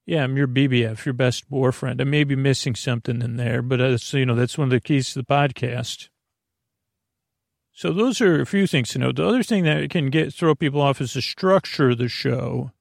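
A man hosting a bedtime podcast in a creaky, gravelly voice, rambling in a halting, meandering way and drifting into tangents mid-sentence. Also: mid-range voice, dry recording, clean studio sound. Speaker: podcast host. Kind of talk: rambling bedtime monologue for falling asleep.

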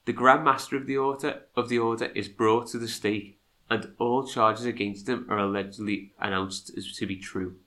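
A man with a fairly low, bright and forward voice, stuffed up with a cold. He co-hosts a podcast, reading history from a script and dropping into casual asides. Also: fairly even intonation, moderate pace. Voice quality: congested, nasal from a cold. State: has a cold. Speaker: podcast co-host.

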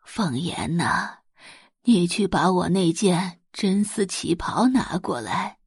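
A woman voicing an elderly, doting and commanding matriarch of a rich family in an audio drama, with slow, drawn-out, stately speech. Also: fairly low pitch, animated, breathy edge, dry recording, clean studio sound, exaggerated voice. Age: older.

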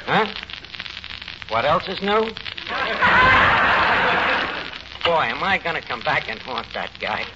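An actor playing a melodramatic, self-important, uneducated tavern manager with a New York accent, mangling lofty phrases in 1940s radio comedy style. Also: male voice, on the high side, sing-song, moderate pace. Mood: mock-solemn. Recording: noisy, muffled 1940s radio recording.

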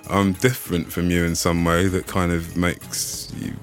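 Male interview guest raised in Austria, deep voice, reflective and halting delivery. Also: little pitch movement, soft background music. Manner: reflective, halting, many restarts. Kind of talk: casual conversational interview.

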